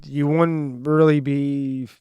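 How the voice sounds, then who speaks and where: Chill host guy, smooth clear voice, dry room, swears casually. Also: fairly high pitch, flat delivery, slow, no echo, highs cut off. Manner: laid-back, agreeable, swears casually. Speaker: podcast host. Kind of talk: casual conversation. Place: studio with a green screen.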